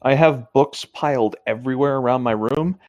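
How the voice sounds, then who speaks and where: A man's voice: medium pitch, normal speed, darkish tone, no echo, lo-fi sound. A man co-hosting a casual reading of a story, adding chatty asides as he goes. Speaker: co-host reading a story aloud with commentary.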